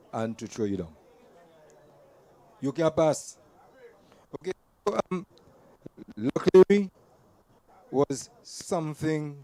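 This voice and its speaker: A man's voice: on the high side, dark tone, no echo. A man gives an earnest storytelling speech to a community gathering, repeating words as he restarts his sentences. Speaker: invited speaker at a community gathering. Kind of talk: personal storytelling speech to an audience. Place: community event venue.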